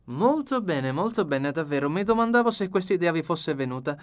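A man voicing a shrewd, courteous little foreign detective in an audiobook, theatrical, knowing and deliberate. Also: a little high, big pitch swings, voice pushed up. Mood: approving. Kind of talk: acted audiobook dialogue.

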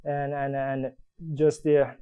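A man from Finland with a Finnish accent, presenting a live coding demo at a conference, talking in halting phrases full of restarts and fillers. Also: medium pitch, monotone, clear, resonant tone, no room sound, narrow, tinny audio. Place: conference room.

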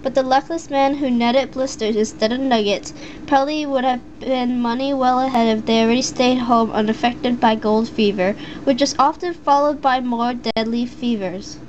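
High-voiced shy teen girl, hesitant. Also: steady tone, normal speed, darkish tone, dull audio, a little hiss or hum. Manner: hesitant, many fillers and restarts. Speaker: vlogger answering a voice meme. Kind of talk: casual chat and halting reading aloud.

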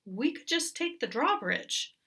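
A sensible, weary hen, as a woman puts her on, who answers every scheme in a dry, deadpan manner. Her voice is bright and leisurely. This storybook voice sounds a little distant from the mic. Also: sits high, a little slow, slightly rough, voice pushed up, exaggerated voice. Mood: wry. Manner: deadpan, wry.

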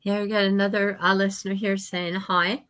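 A woman's voice: medium pitch, flat delivery, normal speed, a bit bright. An older woman hosting an interview over a video call, chatty with short encouraging asides. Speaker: interview host. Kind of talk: casual interview chat. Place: home room indoors. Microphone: video call webcam.

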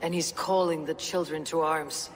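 A woman's voice: sits low, fairly even intonation, moderate pace, close to an everyday voice. A woman playing a proud, stern Norse warrior in a video game, blunt and defiant. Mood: blunt, dry. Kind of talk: dramatic video game acting. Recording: clear background noise, ambience.

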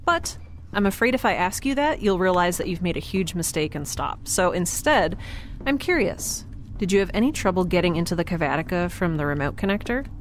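A woman's voice: fairly low pitch, big pitch swings, a little fast. Wry, curious young space scientist woman. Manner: awkward, hesitant, wry. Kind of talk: audio drama acting, conversational. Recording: clear ambient noise behind.

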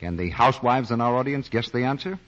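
A man's voice: medium pitch, animated, fast talker, fairly bright tone. A man announcing a 1940s radio commercial in a polished, persuasive way. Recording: muffled 1940s radio recording.

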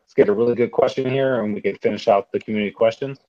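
A man hosting a live audio Q&A, talking in quick, repetitive bursts with fillers and restarts. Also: on the low side, fairly even intonation, no echo. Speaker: host. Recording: dull, dry online audio.

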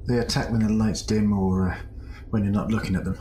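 A man's voice: lowish voice, steady tone, fairly quick, mellow, lightly acted. A man playing a nervous station crewman, hesitant and understated, in an amateur audio drama. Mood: uneasy. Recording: faint background ambience noise.

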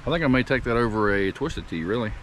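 A man in his thirties talking to the camera while cooking outdoors at a wooded campsite, rambling and easygoing as he thinks out loud. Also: medium pitch, expressive, fast, a little hiss or hum. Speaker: camping cook vlogger.

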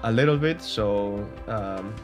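A man's voice: fairly low pitch, slow, faint music behind. A young man from Spain with a Spanish accent, giving casual, hesitant commentary over gameplay with many fillers and restarts. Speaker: gaming YouTuber.

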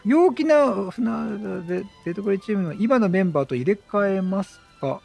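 A man doing chatty game commentary, animated, talking to himself and to the characters on screen. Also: high voice, normal speed, creaky, husky, soft background music. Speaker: gameplay commentator.

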